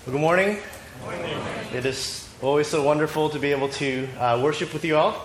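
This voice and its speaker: A man preaching a sermon in short phrases, pausing for an interpreter, while battling a cold. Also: a little high, a little hiss or hum. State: has a cold. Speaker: pastor. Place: church sanctuary. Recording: echoey church hall with faint ambience.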